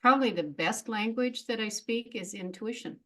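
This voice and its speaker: A woman's voice: fairly high pitch, normal speed, pushed and bright. A middle-aged woman speaking as a guest in a casual interview, frank and reflective, often laughing at herself. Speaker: memoirist guest.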